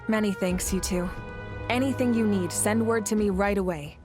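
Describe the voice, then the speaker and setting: A young female war leader of an anime game, acted by a woman, with a mid-pitched voice and measured, articulate delivery. She sounds composed and in command yet warm-hearted, over clearly audible background music. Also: close to an everyday voice. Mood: reassuring.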